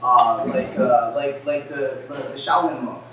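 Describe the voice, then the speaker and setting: A man's voice: medium pitch, fairly even intonation, measured pace, noisy background. Man lecturing in an echoey room. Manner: conversational, frequent "right?" and "uh" fillers. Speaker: presenter. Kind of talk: lecture presentation. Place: gallery-like room with a screen. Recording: echoey room recorded from far off.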